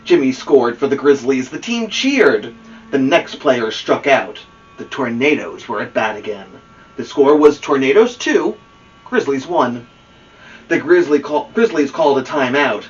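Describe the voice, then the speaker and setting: A man reading a children's storybook aloud as host, expressive and engaging with a young listener. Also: medium pitch, soft background music. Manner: expressive, pausing to ask a child listener questions. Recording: muffled, distant microphone.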